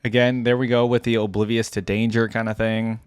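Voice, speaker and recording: A man's voice: lowish voice, flat delivery, a little fast, smooth, no echo. A man hosting a casual reaction to game voice lines, with an amused, easygoing manner. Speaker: host of a game lore reaction channel.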